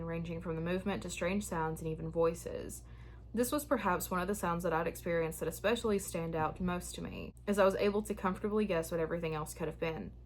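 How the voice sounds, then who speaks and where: A young woman who hosts a spooky story channel, chatting frankly and then reading a listener's tale aloud, her voice starting to go hoarse. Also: lowish voice, a little hiss or hum. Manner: chatty, frank and a bit frazzled when talking, steady when reading. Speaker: horror story channel host. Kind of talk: casual chat and reading listener stories aloud.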